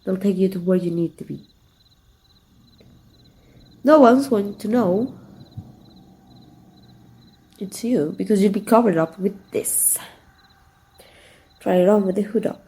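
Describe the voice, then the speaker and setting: A woman's voice: medium pitch, takes it slowly, pauses often, warm tone. A woman playing a flirtatious, confident villain who is secretly fond of her rival, in an intimate, teasing ASMR roleplay. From Mexico. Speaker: amateur voice actor.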